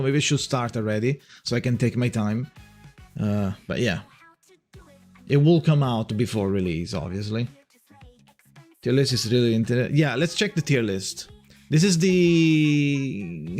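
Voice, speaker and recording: A young man with an Italian accent chats on a livestream in a middle-range voice, with soft music playing faintly under him. He rambles and restarts often, swearing casually as he goes. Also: medium pitch. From Italy. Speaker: streamer.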